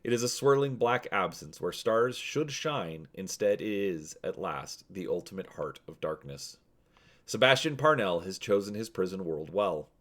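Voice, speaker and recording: A male host with a low-pitched voice narrates an adventure story. His delivery is that of a dramatic read-aloud from a storybook. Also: animated, moderate pace, fairly bright tone.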